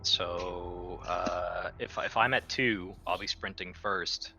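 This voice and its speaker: A man playing a tabletop roleplaying game, talking casually in a loose, halting, slangy way. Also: low voice, fairly even intonation, pushed and bright.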